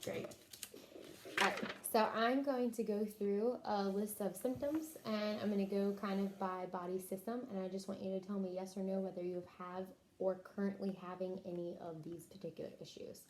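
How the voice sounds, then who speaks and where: A woman with a soft, mellow voice works through a clinical intake interview as a nurse would. Her pitch sits in a middle range. There is faint noise behind her. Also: fairly even intonation, moderate pace. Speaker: nurse interviewer taking a health history.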